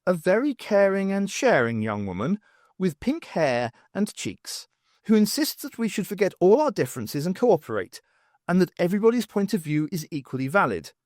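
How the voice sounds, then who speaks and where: A man reading a first-person story aloud as an expressive audiobook narrator, with wry, dramatic, emphatic phrasing. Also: high-pitched, normal speed, no room sound, studio quality. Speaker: audiobook reader.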